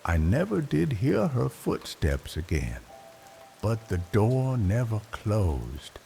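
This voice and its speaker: An older man narrating a bedtime story in a slow, reflective, wistful way, leaving long pauses between phrases. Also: fairly low pitch, huge pitch swings, vocal fry, faint music behind. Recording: rain noise behind the voice. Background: soft rain ambience.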